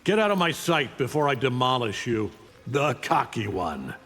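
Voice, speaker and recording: An actor playing an arrogant, menacing alien superhero conqueror, imperious and taunting, in a fighting game. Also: male voice, mid-range voice, very animated, moderate pace, a bit bright, long reverb tail. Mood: contemptuous. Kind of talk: fighting game character dialogue.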